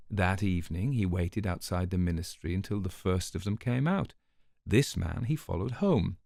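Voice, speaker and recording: An urbane older storyteller in a radio drama. His voice is low and gravelly, recorded close and dry. He speaks with precise, ironic relish, like a sardonic man of the world. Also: darkish tone, dry recording.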